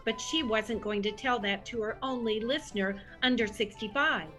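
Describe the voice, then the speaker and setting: A woman's voice: a little high, normal speed, music in the background, close to an everyday voice. A woman playing the dramatic narrator of an old-style radio serial, with theatrical scripted delivery. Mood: knowing.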